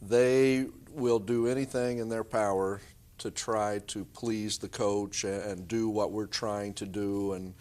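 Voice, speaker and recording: A man's voice: fairly low pitch, fairly even intonation, a little slow, a little mellow. A middle-aged man answering interview questions conversationally as a basketball coach.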